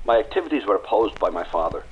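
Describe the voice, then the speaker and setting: A man's voice: lowish voice, sing-song, fast talker, dull audio, slight background noise. A man playing a defiant condemned rebel. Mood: guarded. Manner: guarded, clipped, bitter. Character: older Irish rebel leader awaiting execution, wary and defiant. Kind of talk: radio drama acting.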